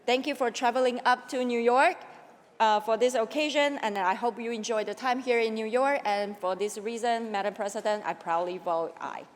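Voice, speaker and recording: A woman's voice: fairly high pitch, moderate pace. Taiwanese-accented woman speaking in an echoing hall. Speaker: state senator. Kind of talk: prepared floor speech in a legislature. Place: large indoor legislative chamber. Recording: echoey hall with long reverb.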